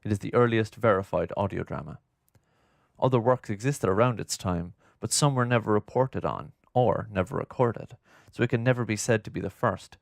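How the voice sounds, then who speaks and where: Deep, gravelly man narrating. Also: dry recording, clean studio sound. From Ireland. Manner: formal, measured, literary delivery. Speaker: documentary narrator and host. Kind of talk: scripted narration. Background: music.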